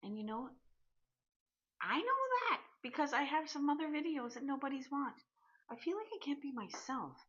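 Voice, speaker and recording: A woman in her sixties from Canada, chatting candidly in a personal vlog, pausing and restarting as she goes. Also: fairly high pitch, a bit bright, husky, lo-fi sound. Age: 60s. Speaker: vlogger.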